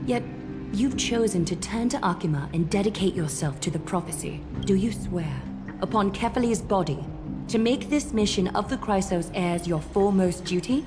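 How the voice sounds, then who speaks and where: An actress voicing a solemn, regal demigod leader in a fantasy game, formal, measured and coolly composed. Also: female voice, mid-range voice, lightly acted. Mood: ceremonial. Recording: game music behind the voice.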